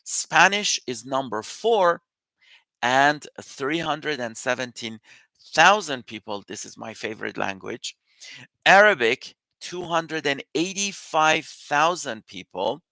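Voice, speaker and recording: A man whose first language is Persian, hosting a live news and Q&A session as an immigration consultant. His voice is bright and forceful. He walks through facts and numbers steadily, and the sound is close and dry. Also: medium pitch, slow, creaky, no room sound, poor audio. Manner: explanatory, steady walkthrough of figures.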